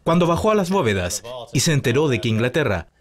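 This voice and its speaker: Guy hosting a money news show, sarcastic and jokey, pitch bouncing all over. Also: sing-song, fast talker. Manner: sarcastic, mocking banter. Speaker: show host. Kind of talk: dubbed financial news commentary.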